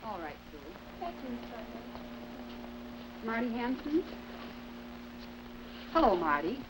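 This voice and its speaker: A woman's voice: fairly high pitch, very animated, takes it slowly. An American woman playing an encouraging school drama director in a 1950s educational film, on a humming, noisy old soundtrack.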